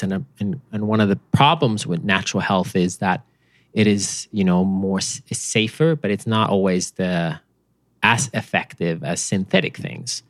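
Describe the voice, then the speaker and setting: A man from Finland with a Finnish accent, a company founder chatting casually as a podcast guest, relaxed and rambling with fillers. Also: on the low side, no room sound. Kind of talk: casual interview chat.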